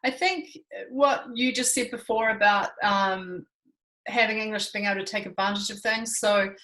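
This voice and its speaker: A woman in her thirties from New Zealand with a New Zealand accent, co-hosting a casual chat in a relaxed, reassuring, fluent way. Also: medium pitch, moderate pace, low-quality recording. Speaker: co-host.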